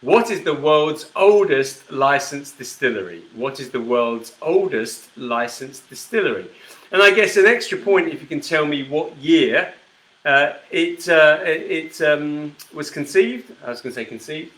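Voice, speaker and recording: A chatty middle-aged man hosts a live whisky broadcast in a relaxed, friendly way, reading out viewer comments as he goes. His voice is bright and forceful and sits a little high for a man. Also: measured pace, low-quality recording. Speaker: host of a live whisky show. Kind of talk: casual live-stream chat.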